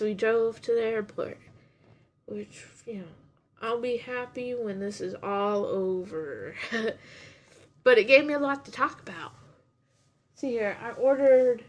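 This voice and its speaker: A woman's voice: a little high, unhurried. Young woman with a runny nose, rambling. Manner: rambling, chatty, many restarts. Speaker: podcast host reviewing anime. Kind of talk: casual chat.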